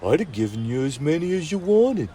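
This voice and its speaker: A gruff young hot dog vendor in a storybook tale, all tough street slang, with a dark, mellow voice that rises and falls a lot, as a man puts him on. He talks plainly and warmly under the rough edge. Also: a little high, very animated, brisk, a little hiss or hum. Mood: earnest.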